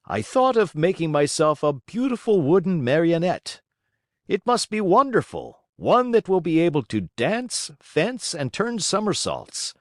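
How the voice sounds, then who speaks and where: A man voicing a dapper, hot-tempered, excitable old man in a storybook reading. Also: fairly high pitch, a little slow, no echo, clean studio sound, pitched above the performer's own voice. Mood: eager, chipper.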